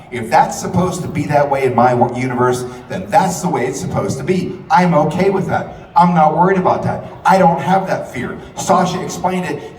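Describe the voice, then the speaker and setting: A man giving an impassioned, repetitive, profane rally speech in a large event hall. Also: on the high side. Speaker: rally speaker and radio host. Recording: echoey hall, distant, loud crowd. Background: loud crowd and hall noise.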